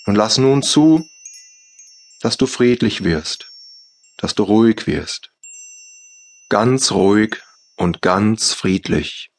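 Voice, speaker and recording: A German man guides a deep relaxation practice in a hushed, unhurried voice. He speaks in short calm phrases, leaving long pauses between them. His voice sits a little low and never rushes. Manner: slow, soft, calm instructions with long pauses. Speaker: meditation guide. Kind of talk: guided yoga nidra meditation.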